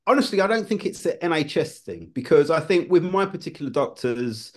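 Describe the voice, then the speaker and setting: A man, a former television producer, talking as a guest in a relaxed conversation, often restarting his phrases. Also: fairly high pitch, a little fast. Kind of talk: casual conversation.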